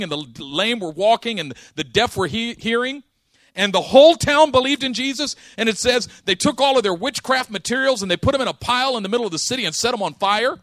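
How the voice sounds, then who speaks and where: A man preaching a sermon, telling a story with lively acted-out dialogue and casual slang. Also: very high-pitched, no room sound. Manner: animated storytelling, acting out dialogue.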